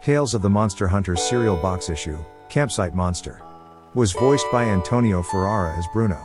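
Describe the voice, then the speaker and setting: Guy announcer doing end credits, low deep voice over music. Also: lively intonation, a little fast. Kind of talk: scripted credits read. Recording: music behind the voice.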